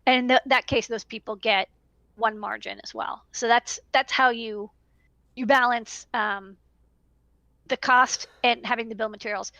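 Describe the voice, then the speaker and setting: Woman with creaky, fairly high voice. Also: steady tone, normal speed, pushed and bright, no echo, highs cut off. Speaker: electronics business owner giving advice. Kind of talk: casual livestream chat. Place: small indoor room. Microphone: headset.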